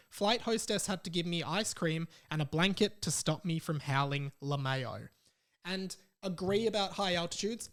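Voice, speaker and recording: Young aussie guy doing a solo podcast, voice a bit on the high side, chatty and informal, reading out listener messages. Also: fairly bright tone. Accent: Australian. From Australia. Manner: chatty, informal, commenting as he reads. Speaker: podcast host.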